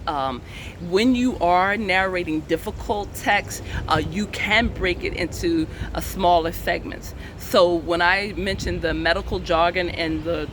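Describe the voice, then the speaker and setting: A man who runs a channel as an indie author, giving casual advice with frequent "uh" pauses. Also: high-pitched. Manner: relaxed, many "uh" pauses, repeats himself. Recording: noisy recording with traffic outside.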